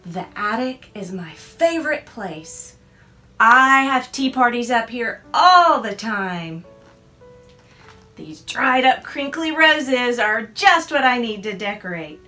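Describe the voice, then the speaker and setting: A stubborn little girl with a taste for spooky things, firm and defiant, read by a woman. She speaks slowly with a bright, lively lilt, and faint music sits behind her. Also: fairly high pitch, exaggerated voice. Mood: content. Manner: firm, matter-of-fact, defiant.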